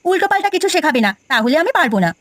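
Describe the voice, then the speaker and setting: A woman voicing a kind, trusting young village wife in a cartoon, speaking quickly and earnestly. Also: very high-pitched, animated, no room sound. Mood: earnest, defensive. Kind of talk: Bengali village cartoon voice acting.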